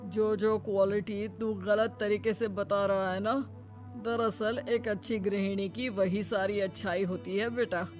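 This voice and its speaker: A woman's voice: mid-range voice, steady tone, measured pace, a little mellow, soft background music, lightly acted. A woman voicing a firm, protective older father-in-law, principled and caring, in a moral story. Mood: reproachful.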